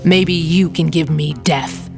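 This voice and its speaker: Female villain, very low and unhurried, cold, world-weary and mournful, theatrical game drama. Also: over music. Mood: mournful, intrigued. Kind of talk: theatrical video game voice acting.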